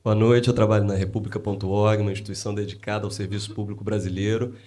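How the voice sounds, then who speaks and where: A man's voice: lowish voice, level tone, quick pace, darkish tone. A man in the audience asking a formal question at a panel, heard through a distant microphone. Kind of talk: question from the floor. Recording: distant mic, faint noise.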